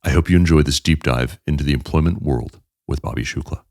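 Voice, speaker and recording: A man hosting an interview podcast, reading the guest's introduction and asking measured questions. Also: a bass voice, gravelly, darkish tone, dry recording, studio quality. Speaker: podcast host.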